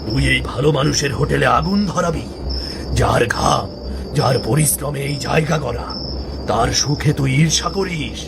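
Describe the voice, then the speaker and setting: A man's voice: mid-range voice, normal speed, vocal fry, background noise, natural voice. A man voicing a stern, righteous ghost who protects good people, speaking solemnly and commandingly in a dramatic cartoon style. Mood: stern, condemning. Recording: music behind the voice.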